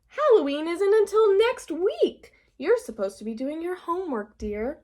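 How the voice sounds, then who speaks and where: A woman's voice: very high voice, very animated, unhurried, darkish tone, voice pushed up, heavily acted. A woman voicing a firm but affectionate mother frog who chides her child in a children's storybook. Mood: chiding. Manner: chiding. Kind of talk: children's storybook read-aloud.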